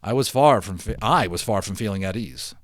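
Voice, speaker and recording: A man giving an expressive, emphatic dramatic reading of a storybook, as an amateur narrator. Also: on the low side, brisk, no echo, clean studio sound. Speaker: amateur audiobook reader. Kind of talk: dramatic storybook read-aloud with chatty asides.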